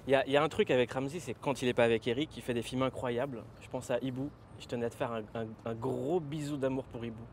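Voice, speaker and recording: A man hosting a lively TV talk show, asking quick, teasing questions. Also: medium pitch, a little hiss or hum.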